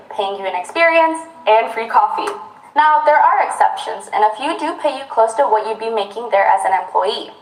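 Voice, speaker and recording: A young woman presenting a scripted explainer, upbeat and speaking directly to the viewer. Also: on the high side. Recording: roomy echo.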